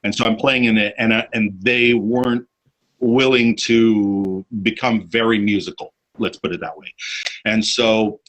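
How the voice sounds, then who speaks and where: A man's voice: on the low side, fairly even intonation, measured pace, fairly bright tone, rough recording. A man hosting a casual podcast chat, telling long rambling stories with many fillers and restarts. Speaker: podcast host, bassist.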